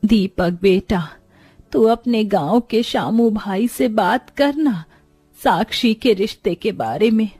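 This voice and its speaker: A woman voicing a caring, worried mother of a poor village family in a story, gentle and concerned. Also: mid-range voice, expressive, slow pace, breathy edge, no room sound, soft background music.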